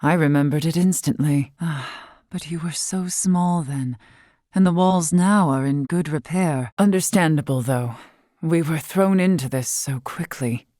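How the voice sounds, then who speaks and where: An actress playing a wise, long-lived female scholar in a video game, composed and curious, speaking in formal, measured, eloquent phrases. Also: low voice, dry recording, clean studio sound. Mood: concerned, calm. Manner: formal, measured, eloquent, softly breathy at times. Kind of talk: scripted video game character dialogue.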